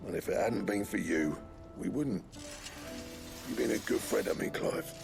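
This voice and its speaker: A man voicing a gruff, humble and hearty blacksmith in a video game, with blunt, earthy speech. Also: on the low side, normal speed, over music. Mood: sincere.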